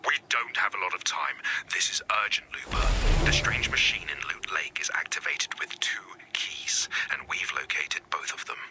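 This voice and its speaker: An actor voicing a grave, urgent military resistance commander in a video game, clipped and commanding. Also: male voice, low voice, very animated, moderate pace, whispered.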